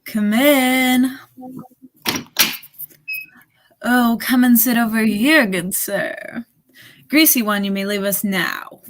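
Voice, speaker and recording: A woman's voice: a little high, slow, noisy background. A woman playing a haughty, possessive nightclub singer with imperious, melodramatic delivery in a radio drama. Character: Aisha, haughty, possessive female singer of the Casbah. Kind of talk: 1940s radio drama acting.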